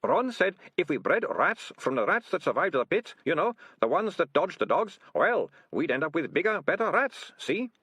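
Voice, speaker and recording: A man's voice: very animated, normal speed, few pauses, fairly bright tone, slightly rough, dry recording, heavily acted. A man voicing a guilty, whining rat-catcher in a storybook, moaning and stammering his excuses in colloquial speech. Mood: whining, defensive. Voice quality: high-pitched moaning voice. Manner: stammering, colloquial, self-justifying.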